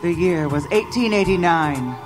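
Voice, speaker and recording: A woman's voice: fairly low pitch, animated, normal speed, over music, close to an everyday voice. An actress playing a woman newspaper reporter who recounts her past in formal, grimly wry gothic narration. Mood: grave, wry. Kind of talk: scripted dramatic narration.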